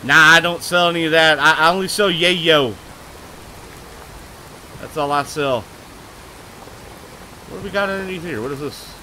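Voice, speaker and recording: A man streaming live gameplay, talking loud and excitably, with a booming voice, cursing at the game and answering chat. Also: moderate pace, pauses often, a little hiss or hum, low-quality recording. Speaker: gaming streamer.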